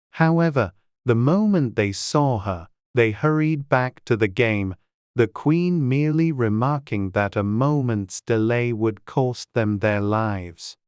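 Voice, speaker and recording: Slow, measured storybook narrator, male. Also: medium pitch, smooth, no echo, clean studio sound. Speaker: audiobook narrator.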